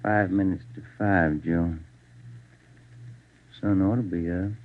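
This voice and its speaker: A man's voice: low voice, steady tone, slow pace. An American man acting as a plain-spoken, dependable police sergeant in a 1940s radio drama, casual and unadorned. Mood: weary, quiet. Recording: dull old radio recording with music.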